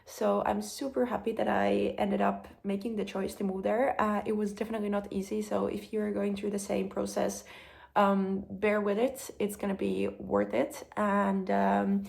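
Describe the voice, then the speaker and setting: A woman's voice: mid-range voice, flat delivery, moderate pace, gravelly, darkish tone. A young woman with a Finnish accent, speaking English as a second language, talking candidly and thoughtfully in a personal vlog, with frequent ums. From Finland. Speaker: vlogger. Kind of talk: reflective personal vlog talk.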